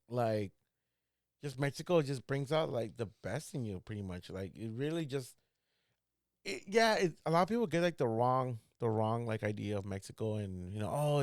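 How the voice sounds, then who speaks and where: A man in his thirties chats loosely on a podcast, circling his point with restarts and fillers. His voice sits at an everyday middle pitch. The sound is close and dry, with no room around it. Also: dry recording. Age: 30s. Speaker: podcast co-host. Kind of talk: casual chat.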